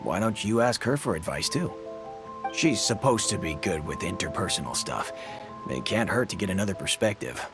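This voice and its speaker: A tactful, seasoned soldier in a video game. His voice is fairly low and lively in intonation, with an easygoing, knowing manner. Faint music sits behind it. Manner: measured advice with awkward, knowing hedging. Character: tactful, easygoing older soldier, male captain. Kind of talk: video game dub dialogue.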